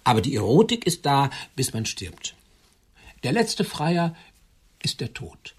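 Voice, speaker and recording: An actor playing an elderly, lonely widower in a radio drama, courting with formal, awkward, old-fashioned politeness. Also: male voice, medium pitch, big pitch swings, a little slow, breathy edge. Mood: earnest, pensive. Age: older.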